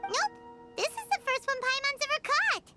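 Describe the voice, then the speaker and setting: A woman's voice: very high-pitched, lively intonation, moderate pace. A woman voicing a tiny floating girl companion who is chirpy and blunt and refers to herself by name. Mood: teasing. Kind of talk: game voice acting. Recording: game dialogue over loud music.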